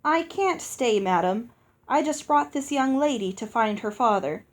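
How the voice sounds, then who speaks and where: A gallant, courteous knight from a fairy tale, played by a woman with a high voice. His speech is polite and courtly, with lively movement in the pitch. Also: measured pace.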